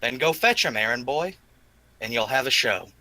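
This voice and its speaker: Cocky half-orc warrior for a tabletop game, played by a man: declamatory, very forceful, close dry sound. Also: medium pitch, lively intonation, moderate pace, no echo. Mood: proud defiance. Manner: proud, declamatory. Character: boastful half-orc warrior, bastard son, defiant.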